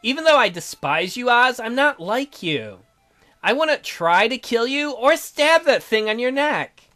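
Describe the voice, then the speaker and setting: A man acts out a resentful young prisoner stuck in a boy's body. The voice is pitched high and swings widely as it accuses and complains in a theatrical, sarcastic way, with game music behind it. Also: sing-song, unhurried, sharp tone, heavily acted. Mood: disdainful. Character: bitter imprisoned youth stuck in a child's body, sarcastic, resentful. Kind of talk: visual novel character read-aloud.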